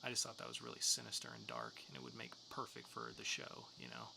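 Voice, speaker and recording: A man's voice: mid-range voice, big pitch swings, quick pace, vocal fry, noisy background. A man co-hosting a podcast, talking through news and reviews in a casual, joking way. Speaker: podcast co-host. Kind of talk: news segment and comics review.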